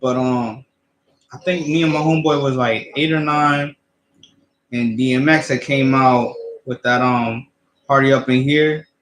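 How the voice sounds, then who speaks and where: A young man in his twenties talks loosely and rambles, tripping over his words and restarting sentences. His voice sits at an ordinary pitch, and the room around him is echoey. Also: steady tone, measured pace, fairly bright tone, a little hiss or hum. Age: 20s. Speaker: co-host. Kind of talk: casual chat.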